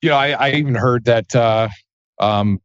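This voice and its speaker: A man's voice: medium pitch, moderate pace, clean tone, no echo. A man hosting a business podcast, asking long questions padded with fillers. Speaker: podcast host. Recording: dry, dull lo-fi sound.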